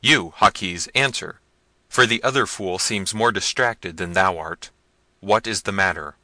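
A man's voice: sits low, measured pace, sharp tone, dry recording, heavily acted. A man voicing a hot-tempered, commanding prince in a gothic novel reading, barking impatient questions and orders.

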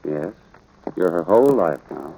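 Stern old-time radio ranch dad, american, deep-ish voice, firm n plain spoken, 1940s recording. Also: male voice, very animated, measured pace, vocal fry, warm tone, slightly rough, exaggerated voice, rough recording. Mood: earnest, instructive. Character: stern, gruff rancher father instructing his young son. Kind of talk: 1940s radio drama acting. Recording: vintage radio drama recording.

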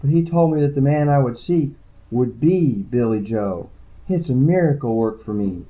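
A man voicing a tired, devout young woman who sees the future, speaking softly and earnestly. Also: medium pitch, lively intonation, normal speed, pitched above the performer's own voice, lightly acted. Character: weary sniffling young woman seeress, devout. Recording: tinny phone-like audiobook.

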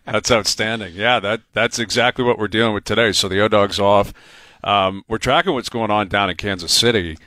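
A man's voice: fairly low pitch, sharp tone, no room sound. A man hosting a sports talk radio show, chatty and rambling as he tells stories. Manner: chatty, quick, rambling storyteller. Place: radio studio. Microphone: studio mic.

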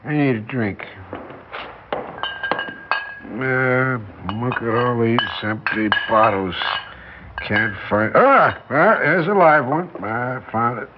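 Drunken, rambling barfly, slow-talking. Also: male voice, mid-range voice, huge pitch swings. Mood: tipsy, rambling. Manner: slurred, rambling, slangy tipsy talk. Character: drunken, evasive down-and-out barfly man in hiding. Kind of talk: 1960s radio drama acting. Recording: dull, noisy 1960s radio recording.

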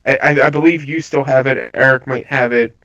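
A man with a stuffy, under-the-weather voice, chatting casually about games with halting restarts. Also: medium pitch, fairly even intonation, fairly bright tone. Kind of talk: casual gaming chat. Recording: slightly dull lo-fi audio.

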